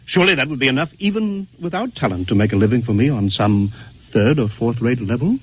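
An actor playing an anguished, self-doubting painter in a 1950s radio drama, speaking in an earnest, pleading, agitated way. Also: male voice, medium pitch, expressive, fairly quick, noisy background, natural voice. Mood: hopeful, pleading.

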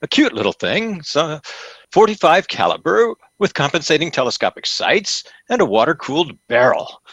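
An actor playing a fawning, pompous store salesman in an old-time radio comedy, with effusive, theatrical flattery. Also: fairly high pitch, normal speed, husky, no room sound, lo-fi sound, full character voice. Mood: flattering.

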